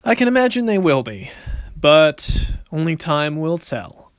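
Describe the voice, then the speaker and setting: A man's voice: on the high side, big pitch swings, unhurried, clear, resonant tone, dry recording, clean studio sound. A man voicing a confident, cocky but good-natured young card duelist in casual, swaggering banter for an amateur anime-style series. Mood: casual, friendly.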